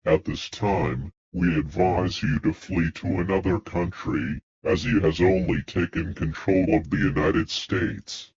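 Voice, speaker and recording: Government announcer, male, very low and unhurried, formal and grave, muffled broadcast sound. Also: steady tone, darkish tone, husky. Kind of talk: scripted emergency broadcast announcement.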